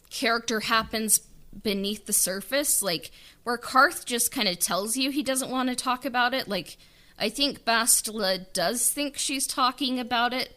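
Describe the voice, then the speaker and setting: Bright-voiced woman chatting casually. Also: fairly high pitch. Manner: rambling, heavy use of filler words. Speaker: writer and producer of an audio drama. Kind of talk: casual interview chat.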